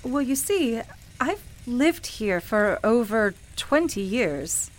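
A woman's voice: a little high, very animated, slow. A woman playing a skeptical, polite and bemused city dweller in a radio play, with a hesitant, incredulous manner. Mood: embarrassed, incredulous. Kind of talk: naturalistic radio play acting.

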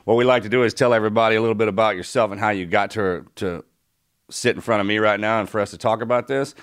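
A man's voice: on the low side, fairly even intonation, fast talker, clean tone. A man hosting a podcast interview in a laid-back, casual way, often saying "man". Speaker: podcast host.

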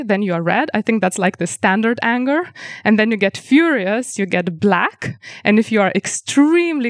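A woman whose first language is Lithuanian, answering interview questions as a researcher in a measured, explanatory way with frequent ums. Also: mid-range voice, no room sound, studio quality. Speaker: researcher guest.

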